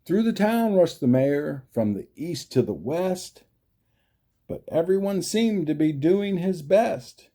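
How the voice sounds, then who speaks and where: Man, children's storyteller, very lively pitch swings, slow pace, theatrical, emphatic. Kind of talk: animated read-aloud storytelling.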